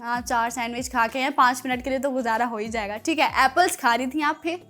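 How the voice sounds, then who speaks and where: High-voiced woman hosting a children's show. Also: fast. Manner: expressive, teasing mock-scolding, addresses listeners directly. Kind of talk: playful children's TV presenting.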